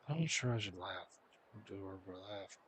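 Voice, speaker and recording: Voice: low voice, lively intonation, moderate pace, a little hiss or hum. A person giving casual reaction commentary while playing through a story game.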